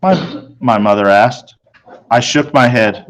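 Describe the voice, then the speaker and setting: Male storybook narrator, medium pitch, reading first-person children's fiction aloud. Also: measured pace, poor audio.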